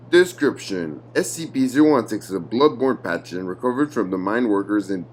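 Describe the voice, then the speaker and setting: A man giving a formal, measured scripted narration of a clinical document. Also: medium pitch, darkish tone, slight background noise.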